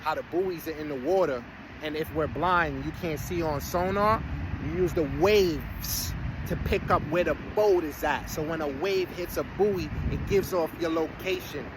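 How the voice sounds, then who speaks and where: A man's voice: on the high side, normal speed. A man about to turn forty, talking casually to his followers in a rambling, streetwise way with preachy asides. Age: 30s. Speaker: vlogger. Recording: outdoor street noise, vehicles passing.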